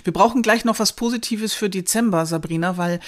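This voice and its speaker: A woman hosting a conversational podcast, her voice sitting at a middle pitch. She speaks in a measured, reflective way and keeps the talk going with soft affirming sounds. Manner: thoughtful, measured, frequent affirming mhms. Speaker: podcast host.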